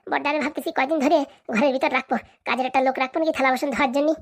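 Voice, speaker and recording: A woman's voice: high-pitched, fairly even intonation, racing. A woman voicing a bossy, scheming village housewife in a comic cartoon, with brash, scolding, rustic speech. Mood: scheming, wary.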